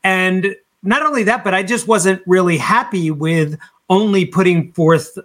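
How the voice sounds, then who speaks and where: A man with a notably high, bright voice speaks casually as an interview guest. His delivery is enthusiastic and informal, like easy conversation. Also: unhurried. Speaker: podcast guest, band singer.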